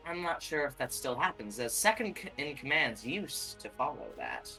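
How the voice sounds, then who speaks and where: A roleplay player speaking in character, measured and cryptic, often trailing off and changing the subject. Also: mid-range voice, expressive, pushed and bright, faint music behind, low-quality recording. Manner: measured, cryptic, keeps saying anyways.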